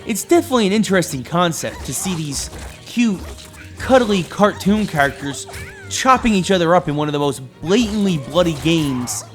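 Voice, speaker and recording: A man narrates a scripted video game review in an emphatic presenter's delivery. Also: a little high. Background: background music.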